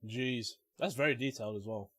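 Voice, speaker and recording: A man's voice: mid-range voice, fairly quick, fairly bright tone, husky, no echo. A young man giving rambling, casual commentary while playing a video game, chatty and easily amused.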